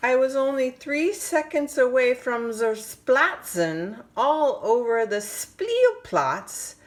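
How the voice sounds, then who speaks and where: Slow, animated woman reading a storybook. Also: sits high. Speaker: parent reading a picture book aloud. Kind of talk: animated children's storybook read-aloud.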